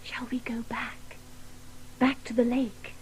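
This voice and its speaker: An actress playing a gentle, ghostly guiding woman in a radio play, breathy and often whispering, with hushed, coaxing delivery. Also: fairly high pitch, level tone, slow, poor audio. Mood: coaxing, mystical. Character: gentle, ghostly woman, soothing imagined guide.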